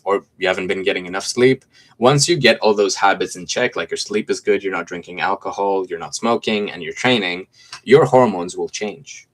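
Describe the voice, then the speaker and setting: A man's voice: sits low, a bit bright. A Greek man in his twenties with a slight American accent, chatting casually on a livestream from his home and reading viewer comments aloud in a laid-back way. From Greece. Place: room at home with mint green walls.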